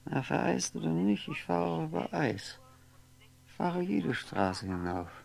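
An actor playing a lonely, brooding man in a radio drama monologue, musing haltingly to himself, wistful and wry, at times dropping to a whisper. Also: fairly high pitch, sing-song, creaky, darkish tone, exaggerated voice. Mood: entranced, longing. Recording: noisy 1960s radio recording, faint hum.

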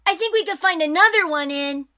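A woman voicing an eager, sweet little boy fox sidekick, earnest and excitable, in a cartoon dub. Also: very high-pitched, fairly quick, heavy background noise. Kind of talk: animated comic dub.

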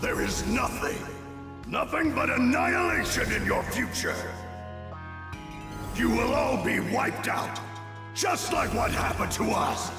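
A man voicing a vengeful, dying alien seer in an anime game, gloating slowly and ominously about doom through echoing reverb. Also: very high voice, very animated, vocal fry, exaggerated voice. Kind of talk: anime game dramatic acting. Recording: echoing hall reverb, music behind. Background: music.

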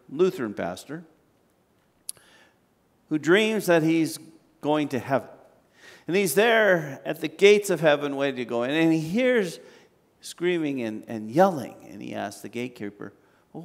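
An older man, a pastor, preaching a sermon from a pulpit in a large church sanctuary, with slow, measured delivery and long pauses. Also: on the high side. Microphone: standing at a pulpit, far camera. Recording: reverberant church hall.

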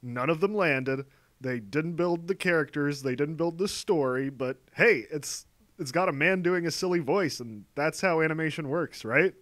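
A man's voice: on the high side, moderate pace, fairly bright tone. A man giving a sarcastic commentary as a video essay host, dry and mocking.

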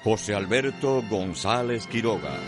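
A man's voice: fairly low pitch, animated, measured pace. A man as announcer, reading scripted credits for a radio drama. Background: loud music.

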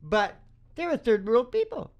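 A man's voice: extremely high pitch, huge pitch swings, moderate pace. A middle-aged man chatting casually and opinionatedly as a musician hosting a live show, often checking in with "right?". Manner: opinionated, folksy, repeatedly asks "right?". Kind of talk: rambling casual chat between songs. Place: small dim room, live performance. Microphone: stand microphone close to mouth. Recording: acoustic guitar playing around the voice. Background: acoustic guitar music.

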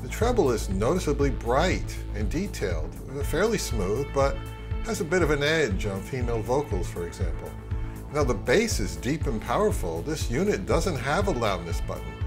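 A man in his fifties talks through a gear review in a conversational way. His voice sits at an average pitch for a man. Faint music plays behind him. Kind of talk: conversational product review narration.

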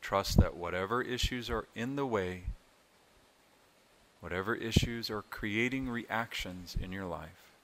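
Deep-voiced, slow-paced man guiding meditation. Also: poor audio. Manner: calm, soothing, unhurried with long pauses. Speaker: yoga nidra meditation instructor. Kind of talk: guided meditation.

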